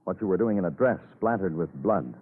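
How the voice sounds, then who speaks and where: An actor playing a world-weary police lieutenant, narrating an old radio noir drama with solemn, deliberate phrasing. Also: male voice, deep voice, animated, husky, no echo. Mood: terse. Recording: tinny old radio recording, music behind.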